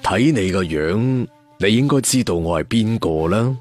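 Man acts young martial-arts villain for audiobook: low, slow, weary menace, slightly husky. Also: lively intonation, darkish tone, faint music behind. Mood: knowing. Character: brooding, menacing young tiger-tattooed swordsmen's leader.

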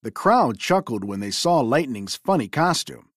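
A woman reading a children's storybook aloud in an expressive, theatrical way. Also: a bass voice, moderate pace, husky, no room sound, studio quality. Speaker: storybook reader.

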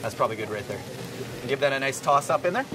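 A fast-talking man in his thirties demonstrates a recipe with an easygoing, joking manner. He is picked up from a little distance on a lapel mic, with clear noise from a busy grocery store behind him. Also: medium pitch, animated. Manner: easygoing, laughs often, calls guest "man". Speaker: chef and cooking segment host. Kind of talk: casual cooking demonstration chat. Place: indoors in a large grocery store.

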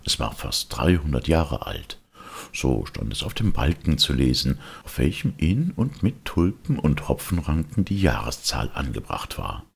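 A man narrates a fairy tale in an extremely deep voice. It is very husky and full of creak. His delivery is theatrical and expressive, made for storytelling. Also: unhurried. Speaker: audiobook reader.

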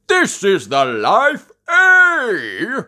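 Loud, jolly old rabbit. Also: male voice, huge pitch swings, exaggerated voice. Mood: exuberant. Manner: hearty, booming, drawn-out exclamations, breaks into song. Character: jolly, boisterous old grandfather rabbit sailor. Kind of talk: children's cartoon storybook character voice.

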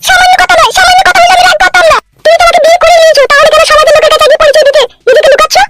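A woman's voice: very high-pitched, flat delivery, very fast, very noisy. A woman voicing a sulky, anxious young bride in a comedy cartoon, pouting, complaining and foul-mouthed.

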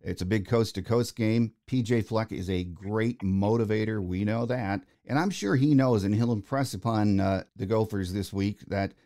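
A man's voice: on the low side, moderate pace, few pauses, dark tone. An American man hosting a sports podcast, setting up questions in a conversational way. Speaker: podcast host, interviewer.

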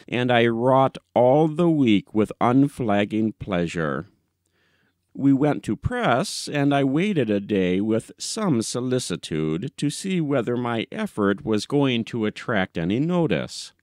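A man's voice: mid-range voice, sing-song, slow, dry recording, clean studio sound. A man reading a literary short story as an audiobook narrator, in a scripted narration style.

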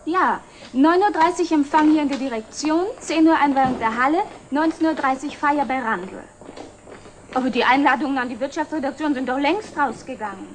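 An actress playing a brisk, efficient office secretary in a 1960s German TV drama. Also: female voice, high voice, lightly acted. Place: office room. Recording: old film soundtrack, faint noise.

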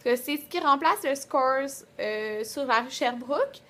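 A young woman with a Quebec French accent and a fairly high voice talks like a vlogger at home. She is chatty and colloquial, holding the camera at arm's length in a small room. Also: animated, a little slow, sharp tone. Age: 20s. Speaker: beauty vlogger. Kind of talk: casual vlog chat to camera. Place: small rooms at home, indoors.

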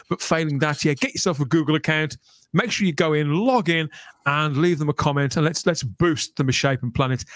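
A man's voice: a little high, dry recording. Effusive male podcast host, close and dry. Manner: effusive, appreciative. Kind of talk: casual podcast chat. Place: small room at home, on a video call. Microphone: close desk microphone.

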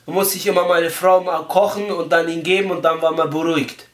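A man putting on a naive, persistent Turkish immigrant with a Turkish accent, halting and ungrammatical, on a prank phone call. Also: on the high side, steady tone, natural voice. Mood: muddled, insistent. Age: young adult. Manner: halting, ungrammatical, repeats himself. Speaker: prank caller. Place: small room indoors, phone on speaker. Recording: echoey room.

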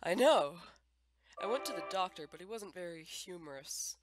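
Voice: medium pitch, animated, moderate pace, crowd noise, natural voice. A performer voicing a tired, dry-humored male skeleton with a casual, hesitant manner and a bitter edge in a comic dub. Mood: deadpan joking. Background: music.

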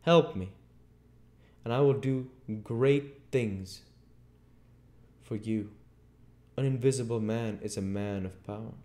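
A man's voice: fairly low pitch, takes it slowly, pauses often, warm tone, pitched above the performer's own voice. A man voicing a desperate, domineering invisible man in a storybook, commanding, insistent and well-spoken. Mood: menacing.

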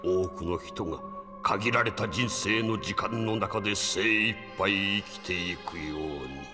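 A very low-voiced old grandfather's spirit in a radio drama. He speaks slowly and gravely, counseling his grandson with solemn calm over prominent music. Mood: grave, urging. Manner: grave, deliberate, old-man speech. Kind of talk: Japanese audio drama acting.

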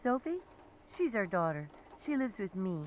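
A woman's voice: medium pitch, big pitch swings, normal speed, slight background noise, close to an everyday voice. Woman acting anxious mother, muffled call. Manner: anxious, urgent. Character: panicked mother calling police about violent husband. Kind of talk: video game voice acting.

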